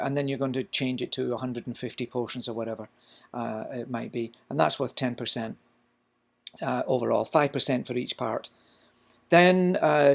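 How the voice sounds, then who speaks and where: Scottish male cooking instructor, gravelly voice, explanatory lecture delivery, plenty of fillers. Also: medium pitch. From Scotland. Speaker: culinary instructor. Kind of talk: recorded lecture.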